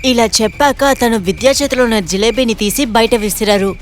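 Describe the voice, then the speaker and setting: A woman narrator reading a scripted moral story for an animated video. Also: on the high side, brisk, soft background music.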